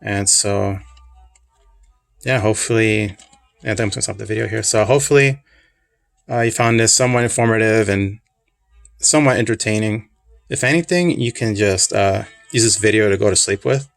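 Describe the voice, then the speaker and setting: A man casually reviewing a collectible pin, soft-spoken and rambling, with a voice he calls calming. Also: fairly low pitch, normal speed, creaky, long pauses. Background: classical music.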